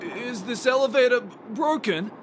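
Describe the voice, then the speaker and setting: A man's voice: very high voice, a little slow, noisy background. A man playing a nervous, dim henchman in a video game, stammering anxiously.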